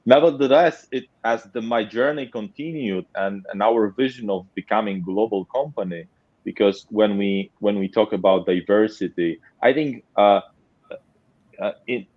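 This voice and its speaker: Polish guy on a webinar panel, low voice, lots of uh and restarts, dull dry audio. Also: dry recording. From Poland. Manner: halting, many repeated words and "uh" fillers. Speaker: panel guest, tech company leader.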